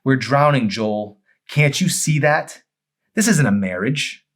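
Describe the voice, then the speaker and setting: A man's voice: medium pitch, animated, slow pace, voice pushed up. Bitter, scornful wife, read by a man. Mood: fed up, accusing. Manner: cutting, scornful, emphatic. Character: bitter, fed-up wife, sarcastic and accusing. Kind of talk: dramatic audiobook character acting.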